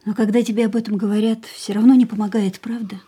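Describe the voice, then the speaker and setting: An actress playing a gravely ill young woman who speaks quietly, tiredly and resolutely, often in a whisper, in a radio play. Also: medium pitch, little pitch movement, fast, close to an everyday voice. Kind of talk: studio radio drama acting.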